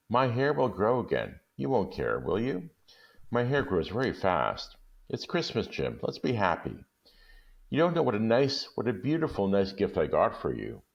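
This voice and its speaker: A pleading, eager young wife from a storybook, as a male reader puts her on. She speaks in a voice raised well above his own, with lively swings in pitch and a gravelly edge. The recording sounds dull and lo-fi. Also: heavily acted.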